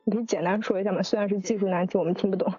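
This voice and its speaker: A woman chats quickly in a mellow voice, hosting an informal group talk and drawing others in. The sound is dull and lo-fi, like an online voice chat, with music behind her. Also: mid-range voice, steady tone. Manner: chatty, prompting others with questions. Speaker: host of a drama cast discussion.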